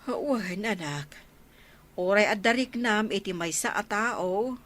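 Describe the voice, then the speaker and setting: An actress playing a caring middle-aged mother in a radio drama, with a gentle, probing way of talking. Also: mid-range voice, expressive, takes it slowly. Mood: concerned.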